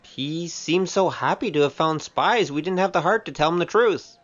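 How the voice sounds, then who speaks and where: A man's voice: a little high, moderate pace, fairly bright tone, lo-fi sound, close to an everyday voice. A man playing a cheeky, easygoing young wandering gambler in an audio drama, with a wry, glib delivery. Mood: glib, teasing. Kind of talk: scripted audio drama acting.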